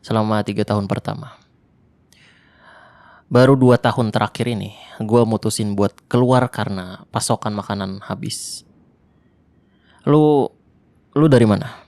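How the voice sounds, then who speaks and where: A young man performing an ASMR roleplay monologue in casual slangy speech, tense and hushed at times, close to the mic. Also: lowish voice, unhurried, creaky, long pauses, no room sound. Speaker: voice actor.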